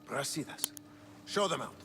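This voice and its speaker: A man's voice: mid-range voice, lively intonation, normal speed, vocal fry. An actor playing a hot-tempered, disdainful Spartan king in a video game, scornful and commanding. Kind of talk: dramatic video game acting. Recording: long hall reverb, music underneath.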